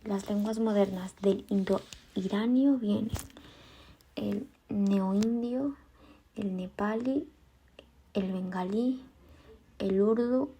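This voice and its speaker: A woman's voice: medium pitch, takes it slowly, long pauses, mellow, a little hiss or hum, rough recording. A woman dictating study notes aloud, often in a whisper, repeating items and spelling words out as she goes.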